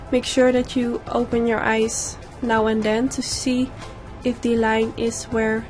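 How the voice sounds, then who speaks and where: A young woman with a slightly hoarse voice from a sore throat, calmly explaining each step of a makeup tutorial in a voice-over. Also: a little high. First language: Dutch. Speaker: makeup YouTuber.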